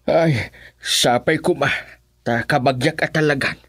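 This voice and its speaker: A man acts a plaintive, hopeful villager with an Ilocano accent. His husky voice moves slowly in radio comedy drama style, recorded dry. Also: medium pitch, fairly bright tone, dry recording.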